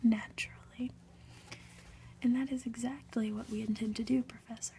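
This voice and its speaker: Woman acting patronising male politician, formal whisper, soft dark tone, ASMR storybook, faint noise. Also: on the high side, fairly even intonation, normal speed, close to an everyday voice. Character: condescending male prime minister dismissing a professor.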